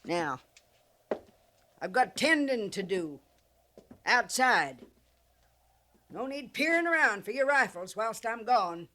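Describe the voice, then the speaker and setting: A high-pitched, contrary old frontier widow. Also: female voice, very animated, slow, long pauses, bright, forceful tone, a little hiss or hum, exaggerated voice. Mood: stubborn, menacing. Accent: American rural Western dialect. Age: older. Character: contrary old frontier trader widow, sly, grasping, unflappable. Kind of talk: 1950s radio western acting. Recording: vintage 1950s radio recording.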